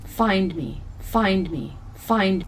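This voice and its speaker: A woman's voice: medium pitch, expressive, extremely slow. A woman voicing an eerie, glitching machine in a sci-fi audio drama, repeating cryptic phrases in loops. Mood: eerie, flat. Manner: looping, cryptic repetition. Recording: noisy, clicking background.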